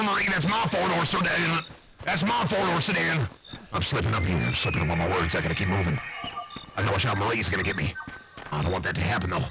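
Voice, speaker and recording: A man's voice: on the low side, lightly acted, rough recording. A man voicing a blustery, nervous backwoods uncle with an American accent, rambling and swearing in an improvised comic monologue. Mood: agitated, wary. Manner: rambling, folksy, profane, excitable.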